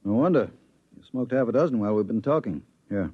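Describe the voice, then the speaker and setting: A man playing a steady bureau chief giving a briefing, in 1950s radio drama style. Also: medium pitch, quick pace, husky. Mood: firm, familiar. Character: steady male bureau chief briefing his trusted investigator. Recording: muffled vintage 1950s radio recording.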